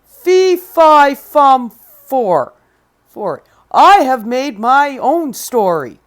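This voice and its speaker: A woman voicing a big, grumpy male giant in a storybook read-aloud, speaking loud and slow. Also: a little high, very animated. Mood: booming.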